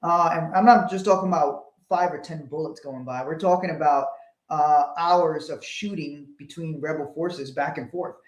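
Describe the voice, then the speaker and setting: A man's voice: fairly high pitch, moderate pace. A man from Liberia co-hosting a motivational talk, speaking earnestly and often repeating words as he starts a sentence. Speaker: co-host. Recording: echoey room.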